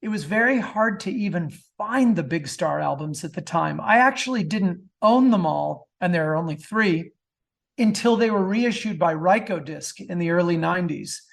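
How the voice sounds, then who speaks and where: A man's voice: sits high, lively intonation, moderate pace, gravelly, fairly bright tone. A middle-aged man chatting casually as an interview guest, enthusiastic and prone to digressions. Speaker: guest author.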